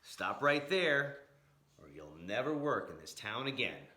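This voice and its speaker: A man's voice: medium pitch, big pitch swings, measured pace, sharp tone. A man voicing an angry plantation boss in a storybook, commanding and threatening, stretching his words. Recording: echoey room.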